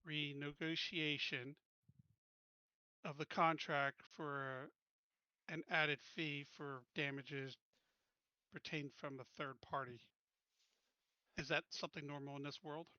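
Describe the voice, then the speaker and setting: A man's voice: a little high, fairly even intonation, slow pace, long pauses, bright, forceful tone, no room sound, lo-fi sound. A man talking casually as a player in a tabletop roleplaying game.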